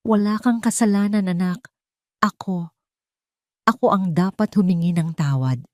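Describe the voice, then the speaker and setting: A woman storyteller takes the part of an older mother in a family audio drama. She is gentle, sorrowful and quick to blame herself. The recording is close and dry. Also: mid-range voice, dry recording, close to an everyday voice. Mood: tearful confession. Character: gentle older mother, tearful and self-blaming.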